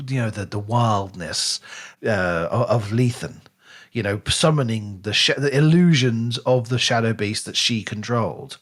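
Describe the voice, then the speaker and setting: A man's voice: mid-range voice, a bit bright. A man co-hosting a casual book discussion podcast, speaking in an emphatic, analytical way. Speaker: podcast host.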